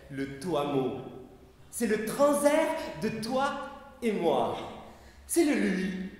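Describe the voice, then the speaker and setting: Male sound-poetry performer, high and very animated pitch, live festival stage, deep hall echo. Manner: slow, measured recitation with wide swings in pitch and loudness.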